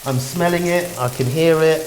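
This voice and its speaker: A man's voice: fairly high pitch, moderate pace, slight background noise. A man co-hosting a casual cooking demonstration, explaining his steps with playful, jokey banter. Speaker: cooking show co-host. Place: kitchen. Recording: echoey kitchen with sizzling pan.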